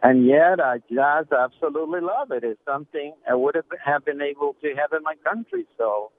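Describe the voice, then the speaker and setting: Over a narrow phone line, a man from Ecuador whose first language is Spanish calls a radio show. His voice is a touch high. He talks casually, pausing and hesitating mid-sentence. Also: expressive, no echo.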